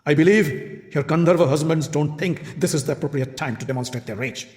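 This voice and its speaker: A man voicing a grave older man who holds back his anger, speaking in a low, stern manner. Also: brisk, a little mellow, in a large hall, natural voice. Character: restrained, grave, angry king in disguise.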